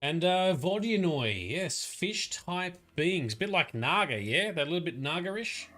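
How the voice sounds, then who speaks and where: A man's voice: fairly high pitch, sing-song, measured pace, background noise. A man giving casual let's play commentary, chatty, swearing and laughing at the game. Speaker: streamer. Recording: game music behind voice. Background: game music.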